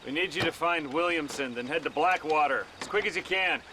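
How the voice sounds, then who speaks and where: An actor playing a condescending government agent in a video game, speaking in a curt, official way. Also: male voice, fairly high pitch, fairly quick, lightly acted. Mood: stern. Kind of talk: dramatic video game acting. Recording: noisy outdoor game ambience.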